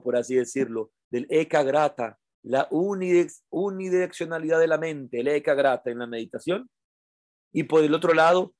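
Higher-voiced man teaching informally. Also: no room sound, studio quality. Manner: didactic, repeats phrases for emphasis. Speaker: meditation teacher. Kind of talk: informal spoken teaching to an online audience.

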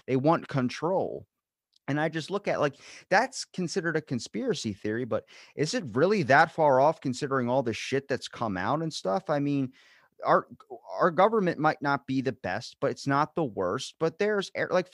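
A man with a Baltimore accent, talking in a rambling, animated way and swearing casually in a podcast chat. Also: mid-range voice, dry recording, clean studio sound.

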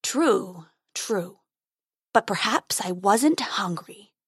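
Woman doing a grand storybook dragon: slow, booming, drawn-out and lofty. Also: expressive, husky, dry recording, studio quality, heavily acted. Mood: brooding. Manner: booming, drawn-out, talks of eating people. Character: menacing grand male dragon, huge and slow.

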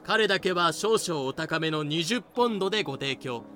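Businesslike male trader for an audio drama, acted by a man: slightly high, bright voice, showman's polish, music underneath. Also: animated, moderate pace. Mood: showy, selling. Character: slave trader hosting a sale, businesslike salesman. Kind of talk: fan-made Japanese audio drama acting.

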